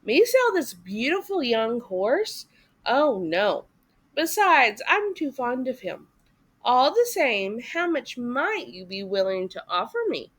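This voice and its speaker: A woman voicing a conceited, excitable male toad from a storybook, with an exclamatory, self-important manner. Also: a little high, takes it slowly, slightly rough, heavily acted. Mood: indignant, coy.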